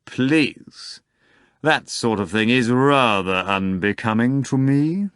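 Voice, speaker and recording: A man's voice: mid-range voice, lively intonation, slow, a bit bright, clear, resonant tone, no room sound, studio quality, voice pushed up. A man playing a suave, condescending magician. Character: suave, condescending foreign magician, an older devil.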